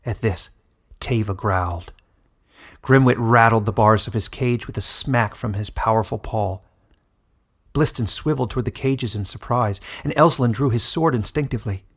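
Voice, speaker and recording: A man's voice: medium pitch, moderate pace, husky. A man narrating a fantasy story in a dramatic, scripted audiobook style.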